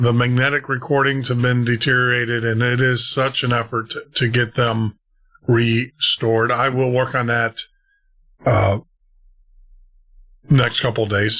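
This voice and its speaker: Male podcast host, casual chat, bright tone, apologetic, laughs easily. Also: medium pitch.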